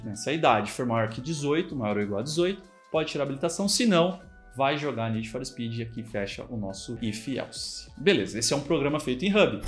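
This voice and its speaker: A man with a Brazilian Portuguese accent, a programming instructor walking through code in a casual, chatty screen-recorded tutorial and often checking in with the viewer. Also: fairly high pitch. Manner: casual, chatty explaining with frequent check-ins.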